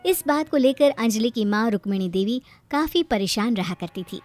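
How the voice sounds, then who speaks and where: A woman's voice: a little high, expressive, normal speed, a little mellow, faint music behind. A woman reading scripted story narration as the narrator.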